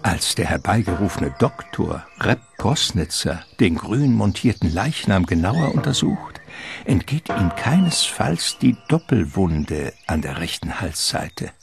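A man narrating a literary radio play in a measured, ornate storytelling manner. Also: low voice, very animated, gravelly, over music.

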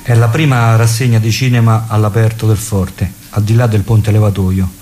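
A man's voice: on the low side, little pitch movement, normal speed, a little mellow, slight background noise. A man reading a scripted memoir in a reflective, literary way for radio. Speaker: reader.

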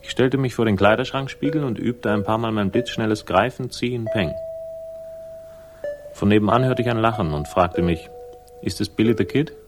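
Man acting a low-voiced, curt, weary private eye. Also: natural voice. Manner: laconic, dry, brooding. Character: weary, curt, hard-boiled male private investigator. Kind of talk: 1960s German radio crime drama acting. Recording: 1960s radio production.

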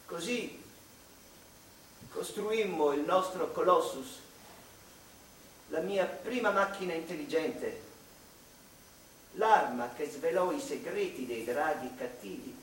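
An actor playing a wistful, anguished male mathematician in a theatrical radio monologue, speaking to his mother with halting, dramatic delivery. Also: on the high side, fairly bright tone, a little hiss or hum. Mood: reflective. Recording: echoey room, distant microphone, music behind.

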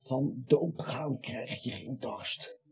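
An actor playing a dying, irritable and absurdly witty little man in a comic radio play, husky and wry. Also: medium pitch, slow pace. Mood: weak, testy. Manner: testy, wry. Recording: dull lo-fi radio recording.

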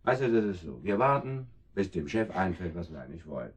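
An actor playing a menacing thug in a radio crime play, mockingly polite and given to barking orders. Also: male voice, deep voice, big pitch swings, fast, slightly rough, heavily acted. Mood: mockingly genial. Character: menacing, jovially mocking male thug intruder. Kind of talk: radio crime drama acting.

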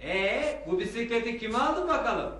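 An actress playing an amused, teasing neighbour who calls out in a radio theatre play. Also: female voice, a little high, animated, normal speed, fairly bright tone. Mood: teasing curiosity. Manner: teasing, calling out, laughing. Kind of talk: Turkish radio theatre acting. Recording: distant, very echoey, music behind.

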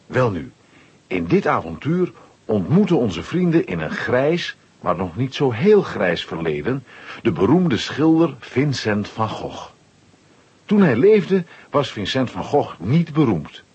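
Man, playful storyteller, animated intonation, very husky voice, children's audio drama narration. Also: mid-range voice, slow pace, muffled recording.

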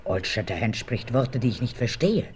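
An actor playing a proud, solemn native warrior who talks of himself in the third person, in a radio play. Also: male voice, mid-range voice, big pitch swings, normal speed, slightly rough. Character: proud disguised native warrior, secret mother. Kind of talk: 1970s radio play acting.

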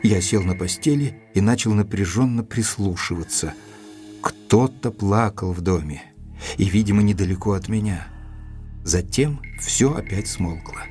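A man's voice: on the low side, measured pace, darkish tone. A man narrating an audio drama in the first person, reading scripted literary prose. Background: faint music.

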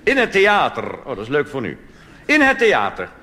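A man's voice: medium pitch, wildly swooping pitch, normal speed, bright, forceful tone. A man from the Netherlands performing a wry, mocking cabaret monologue on stage, now and then slipping into quoted voices. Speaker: cabaret comedian. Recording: live stage recording with audience and hiss. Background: audience laughter.

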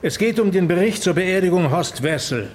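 A senior police detective in a radio drama. His voice sits slightly high for a man, and he is stern, formal and correct with his subordinates. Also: animated, normal speed, slight background noise. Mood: stern, controlled. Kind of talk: radio play dialogue.

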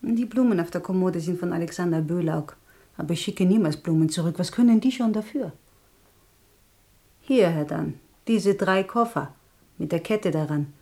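Wistful young woman, mellow low voice. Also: moderate pace. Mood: wry, resigned. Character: penniless young woman hotel guest, wistful, resigned. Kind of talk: radio play acting.

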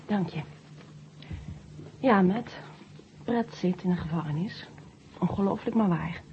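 A woman acting in a 1970 radio drama as a guarded, worried astronaut's wife, speaking haltingly and with feeling on an old recording. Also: fairly low pitch, highs cut off, slight background noise. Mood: distressed, bitter.

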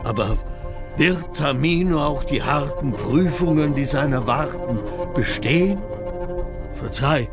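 A grave temple priest in a classical radio drama. He speaks formally and slowly, earnest and probing, with music clearly behind him. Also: on the high side, lively intonation. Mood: concerned, respectful. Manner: formal, deliberate. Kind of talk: declamatory classical stage speech in a radio play.

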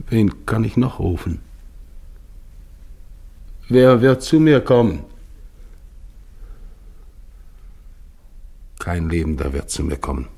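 Dying arctic expedition captain in a radio play, slow gravelly voice, solemn, grieving, repeats himself. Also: male voice, low voice, darkish tone, exaggerated voice. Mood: desolate, fading. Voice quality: gravelly, husky, at times whispered. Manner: solemn, incantatory, repeating phrases. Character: dying, grieving male polar expedition leader recounting his doomed march. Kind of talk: literary German radio play monologue.

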